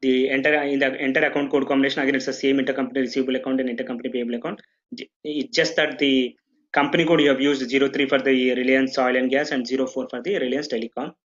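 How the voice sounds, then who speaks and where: A man walks a class through software configuration, stopping often to check they follow with an "okay". His pitch sits in an ordinary middle range. The recording sounds muffled and lo-fi. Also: flat delivery, fast talker. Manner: explanatory, full of "okay" checks and restarts. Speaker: software trainer. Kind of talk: live online training session.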